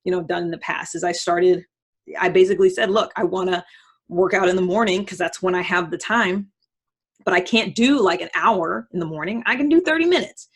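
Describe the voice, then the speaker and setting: A woman's voice: fairly low pitch, a little fast, slightly rough. Woman giving casual, emphatic advice. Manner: conversational, emphatic, acts out imagined self-talk. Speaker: podcast host giving self-improvement advice. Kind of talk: casual motivational talk.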